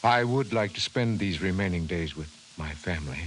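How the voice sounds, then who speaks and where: Grave low-voiced scientist, hissy old radio. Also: male voice, lively intonation. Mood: solemn. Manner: measured, formal, emphatic delivery. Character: grave, precise male scientist, a government professor. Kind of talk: 1950s radio drama acting. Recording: hissy 1950s radio recording.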